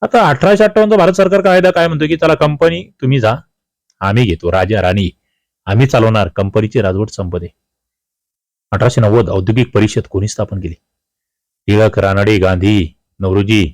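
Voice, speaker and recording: A man's voice: fairly low pitch, normal speed, creaky, long pauses, a little mellow, dry recording. Man teaching, close dry sound. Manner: didactic, poses questions then answers them. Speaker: exam coaching teacher. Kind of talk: exam question walkthrough, explaining answers.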